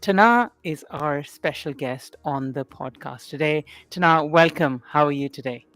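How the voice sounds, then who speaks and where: A man's voice: a little high, measured pace, fairly bright tone, dry recording. A man hosting a podcast, welcoming his guest and prompting him with friendly questions and frequent yeahs. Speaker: podcast host. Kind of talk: podcast interview.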